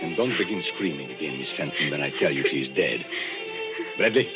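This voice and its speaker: An actor playing a suave, commanding foreign gentleman sleuth in a 1940s radio drama, speaking calmly and precisely. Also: a bass voice, fairly quick, over music. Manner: calm, precise, authoritative. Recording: dull old radio broadcast recording.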